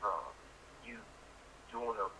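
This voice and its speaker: A man's voice: lowish voice, lively intonation, slow pace, pushed and bright. An older man chatting casually over the phone, folksy and insistent, leaning on repeated catchphrases. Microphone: phone call. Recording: noisy telephone call played over speaker.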